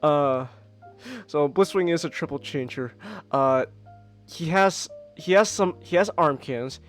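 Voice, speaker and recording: A man co-hosting a casual podcast, excitable and stammering, laughing often and breaking into squeaky character voices. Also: unhurried, faint music behind. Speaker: podcast co-host. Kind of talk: casual chat.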